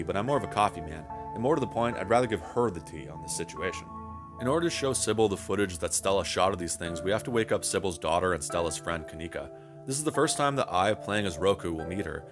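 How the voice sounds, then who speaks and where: Male narrator for game review, very deep and quick, wry and casually sweary. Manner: wry, wordy, casually profane.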